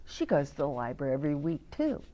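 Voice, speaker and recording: An older woman reading aloud to children and adding chatty asides, gently explaining things to her young listeners. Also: sits low, big pitch swings, fast.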